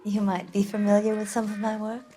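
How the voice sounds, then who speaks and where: A woman's voice: medium pitch, monotone, fairly quick, warm tone, slight background noise, close to an everyday voice. A woman voicing a flirtatious, haughty former film actress who waves off questions in a drowsy drawl. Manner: flirtatious, dismissive, slightly drowsy. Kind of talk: video game cutscene acting.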